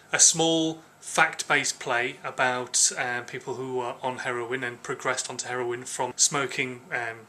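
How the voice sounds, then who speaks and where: An English man records a casual vlog in a bright, clear-cutting voice. He sounds somewhat hoarse with a cold. His talk is informal and chatty, with a hesitant, thinking-aloud way about it. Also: mid-range voice. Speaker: vlogger.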